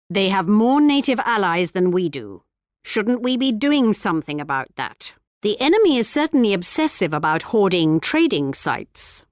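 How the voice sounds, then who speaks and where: A woman's voice: medium pitch, no echo, clean studio sound. A woman voicing a gracious, proud English queen in a strategy game, formal and poised, with a British accent. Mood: haughty, teasing. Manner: formal, poised, theatrically regal, lilting. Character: regal English queen, gracious, proud, politely cutting war ally. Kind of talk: scripted strategy game voice lines.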